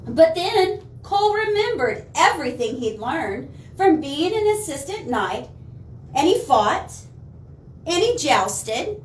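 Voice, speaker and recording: A woman reading picture books aloud to young children, with expressive dramatic emphasis and chatty asides to her listeners. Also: high-pitched, takes it slowly. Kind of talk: animated storytime read-aloud for children. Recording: roomy, distant mic, faint noise.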